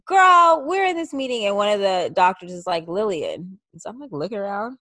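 Animated, blunt, teasing woman podcaster. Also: medium pitch, a little fast, dry recording. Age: 30s. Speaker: podcast co-host. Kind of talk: casual, teasing banter and storytelling. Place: remote video call.

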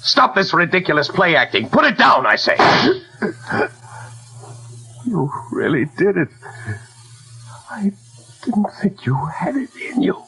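A scornful, gravelly doomed man. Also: a little high, bright, forceful tone, full character voice, rough recording. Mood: stunned. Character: doomed man, incredulous and scornful, being threatened. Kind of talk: 1940s radio acting. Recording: raspy old 1940s radio recording.

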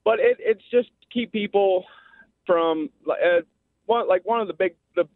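A man's voice: high voice, unhurried, no room sound. A Midwestern American man phoning in to a radio show, explaining his point in colloquial, folksy speech. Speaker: radio phone-in caller. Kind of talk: informal, explaining and arguing a point. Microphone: telephone. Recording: thin, band-limited phone line.

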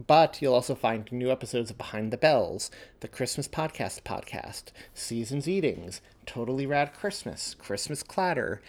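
Male podcast host reading show announcements. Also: mid-range voice.

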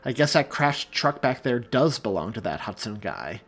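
A man's voice: medium pitch, big pitch swings, moderate pace, a bit bright. A narrator doing a blunt, uneasy man. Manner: blunt and commanding.

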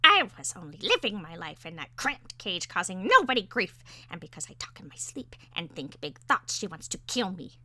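A woman's voice: fairly low pitch, sing-song, moderate pace, few pauses, sharp tone, no echo, heavily acted. A woman voicing a small, vengeful male parakeet in a storybook tale, scolding and squawking melodramatically. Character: indignant, vengeful talking male pet parakeet, small and shrill.

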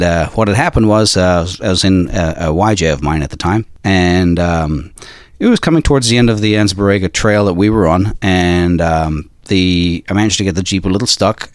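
A middle-aged man from South Africa with a very low voice, telling a story in a loose, rambling way full of "uh" and "you know". He is recorded close up in a dry room. He speaks as a guest on a podcast. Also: brisk, dry recording. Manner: rambling, many fillers, laughs easily. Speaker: off-road shop owner, podcast guest. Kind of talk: casual storytelling chat.

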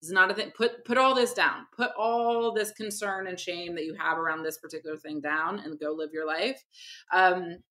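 Bright-voiced woman guest from Barbados. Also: deep voice. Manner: lively, laughs through her stories. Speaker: guest producer. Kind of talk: conversational interview.

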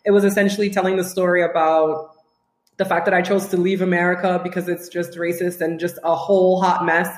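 An animated, outspoken woman talks frankly as a podcast guest. She grew up between Trinidad and Tobago and the US, and her voice rings in a roomy, echoey space. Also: fairly low pitch, fairly quick. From Trinidad and Tobago, raised in the US. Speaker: guest, writer. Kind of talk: casual podcast conversation. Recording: roomy echo.

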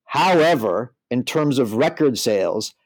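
A man talks as a podcast co-host in an opinionated, explanatory way. His voice sits at an average pitch, and the sound is dry and close. He speaks conversationally, checking that the listener follows. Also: animated, a little slow, no room sound, rough recording. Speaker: podcast co-host and band manager. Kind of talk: casual music industry discussion.

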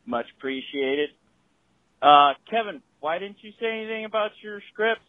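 A man's voice: high voice, expressive, normal speed, no echo. A man calling in to a podcast, casual and upbeat, talking like a buddy. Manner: casual, upbeat, says dude. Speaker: caller. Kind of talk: voicemail call-in. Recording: tinny phone line.

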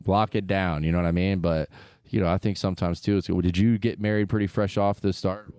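A man's voice: low voice, fairly even intonation, brisk, a little mellow, dry recording, clean studio sound. A man hosting a casual golf interview, rambling with many restarts and fillers. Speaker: golf podcast host.

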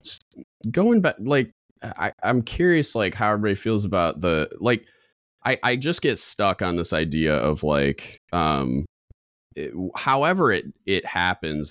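Deep booming man, dry close mic. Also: clean tone, no echo. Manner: loud, comes on strong, many restarts and fillers. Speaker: podcast co-host. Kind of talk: casual discussion.

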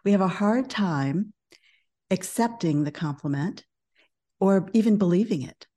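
A middle-aged woman speaking as a podcast guest, a business coach who talks in a calm, measured way. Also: mid-range voice. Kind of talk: podcast interview.

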